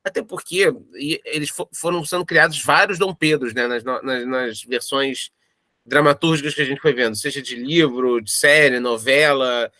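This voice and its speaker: A man's voice: medium pitch, normal speed, sharp tone, no echo. A Brazilian man talking casually and reflectively as a creator in an interview, circling through his explanations with informal asides. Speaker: audio drama creator interviewed in a making-of.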